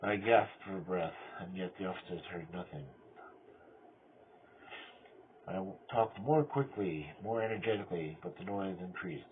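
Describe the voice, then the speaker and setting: A man playing a cunning, mad murderer who confesses in a theatrical gothic horror reading, swinging between hushed control and frenzy. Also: low voice, normal speed, natural voice. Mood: uneasy, agitated. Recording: tinny band-limited audio with clear noise.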